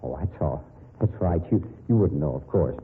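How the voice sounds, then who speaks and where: A low-voiced, wisecracking detective from an old radio mystery, blunt and breezy with a big heart. His delivery swings freely up and down, and the recording is muffled and hissy. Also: animated, moderate pace, slightly rough, exaggerated voice. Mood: gently breezy. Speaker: radio drama lead actor. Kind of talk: old-time radio detective drama acting.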